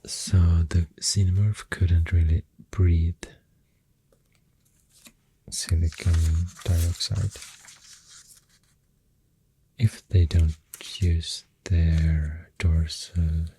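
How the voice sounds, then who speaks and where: An ASMR creator with a Swedish accent, speaking very softly and often near a whisper, thinking aloud hesitantly into a close microphone. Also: low-pitched, flat delivery, drawn-out words, long pauses, slight background noise, low-quality recording. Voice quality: very soft, breathy, often dropping to a whisper. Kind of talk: soft-spoken ASMR, rambling science facts.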